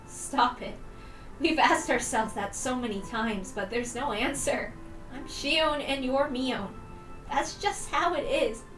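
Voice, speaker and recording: A woman's voice: sits high, takes it slowly, a bit bright. A woman voicing an anguished, defiant teenage girl in a dramatic, emphatic reading of a visual novel. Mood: resigned, sad. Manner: emphatic, emotional. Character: anguished, defiant teenage girl twin resisting her inner demon. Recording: roomy echo, distant mic, music behind.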